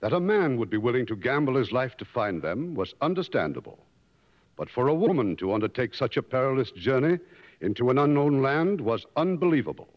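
A man hosting a 1950s radio show, presenting a script in a formal announcer style. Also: mid-range voice. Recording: muffled old radio broadcast in reverberant hall.

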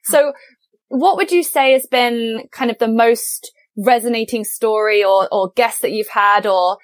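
Woman podcast host, slightly high, warmly enthusiastic, dry close mic. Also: unhurried, no echo. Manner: enthusiastic and affirming. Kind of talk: conversational interview.

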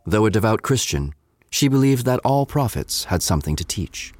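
A man reading scripted narration for an audio drama in a measured, literary manner. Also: fairly low pitch, very animated, darkish tone, no room sound. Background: music.

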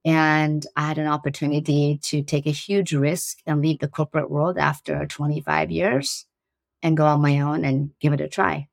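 A woman's voice: deep voice, flat delivery, normal speed, creaky, no room sound, studio quality. A woman in her forties from Iran with a slight Iranian accent, answering interview questions earnestly and laughing easily. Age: 40s. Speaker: guest, startup CEO.

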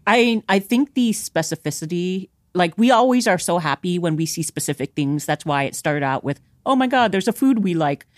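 Laughing, animated woman reviewing a film. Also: fairly low pitch, moderate pace, hardly any pauses, no echo, studio quality. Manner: animated, laughs often, swears casually. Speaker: film podcast co-host. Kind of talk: casual film review chat.

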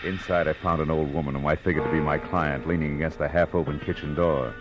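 A man's voice: rumbling low, steady tone, fast, lo-fi sound, over loud music. An actor playing a wry, hard-boiled male private detective in a 1940s radio noir, dry and terse. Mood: grim, terse.

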